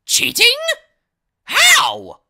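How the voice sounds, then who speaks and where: A woman's voice: high-pitched, huge pitch swings, vocal fry, pushed and bright, exaggerated voice. A woman playing a gloating teenage prankster villain in an audio drama, theatrical, taunting and clownish. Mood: baffled, indignant. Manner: theatrical, taunting, drawn-out delivery.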